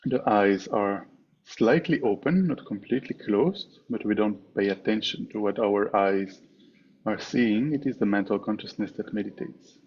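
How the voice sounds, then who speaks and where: Low-voiced man guiding a meditation, dull noisy recording. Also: a little mellow. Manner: measured, with frequent pauses between short phrases. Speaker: meditation teacher. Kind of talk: guided meditation instruction. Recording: dull, muffled online call with clear background noise.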